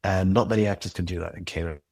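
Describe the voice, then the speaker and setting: A man's voice: deep voice, fairly even intonation, fast talker, gravelly, a little mellow, no room sound. A man who creates and directs audio drama, speaking as a guest in a casual interview, earnest, reflective and passionate.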